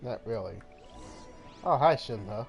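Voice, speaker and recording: A man streaming a video game, talking slowly and playfully with a very animated, up-and-down delivery. He rambles and breaks into sudden outbursts over faint game music. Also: medium pitch, creaky. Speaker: gaming streamer. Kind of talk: casual game commentary.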